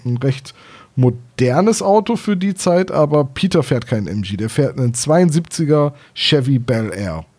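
A man's voice: medium pitch, darkish tone. A man in his thirties from Germany chatting casually and enthusiastically as a podcast co-host, with a teasing streak. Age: 30s.

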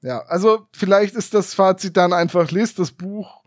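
A man's voice: sits high, moderate pace, dry recording. A man co-hosting a podcast in casual chat, making wry, dry jokes. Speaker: podcast co-host. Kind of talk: casual chat reviewing an audio drama.

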